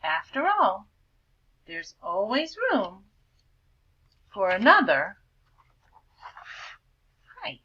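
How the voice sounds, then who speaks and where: Breathy woman teacher reading aloud. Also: mid-range voice, very animated, extremely slow, pauses often, muffled recording. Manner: gentle questioning, prompting children to think. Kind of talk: reading a picture book aloud to a class.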